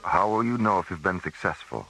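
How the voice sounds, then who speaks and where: Low-voiced sober commissioner. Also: male voice, animated, a little fast, poor audio. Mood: probing. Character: sober male space station commissioner. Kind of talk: science fiction radio drama.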